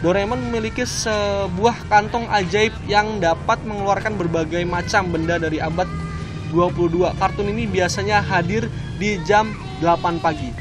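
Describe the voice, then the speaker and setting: A man's voice: sits high, fairly even intonation, normal speed. A young man, co-hosting a vlog in casual, nostalgic chat. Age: young adult. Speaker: vlog co-host. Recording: background music behind the voice.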